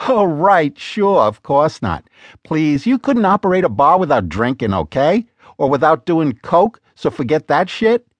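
A man voicing a sharp-tongued, exasperated woman who bosses her business partner, talking in a sarcastic, scolding way. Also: on the high side, sing-song, measured pace, no echo, voice pushed up.

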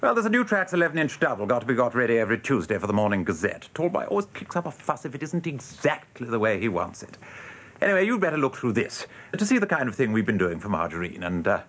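A man's voice: mid-range voice, big pitch swings, fast, a bit bright. An actor playing a world-weary, sardonic English advertising man with a dry, mock-pompous manner in a radio drama. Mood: brisk, jaded. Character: world-weary, sardonic male advertising copywriter showing newcomer around.

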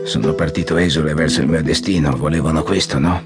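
An actor playing an ailing, proud old exiled general, imperious and theatrical, in an audiobook drama. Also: male voice, rumbling low, fairly even intonation, quick pace. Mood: weary, bitter. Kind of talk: audiobook radio drama acting. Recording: guitar music under the voice.

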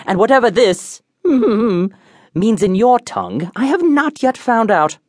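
An actor playing an eccentric, pompous detective, declaiming absurdly confident deductions in a theatrical comic radio-drama style. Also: male voice, sits high, sing-song, slow, a little mellow, no echo, studio quality, heavily acted. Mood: haughty, irritated.

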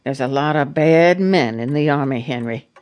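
A woman's voice: very deep voice, normal speed, darkish tone. A plain-spoken American farm mother in a radio drama. She is middle-aged and devout, with a rural accent, and counsels her child in an earnest, anxious, homespun way. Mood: anxious, tender.

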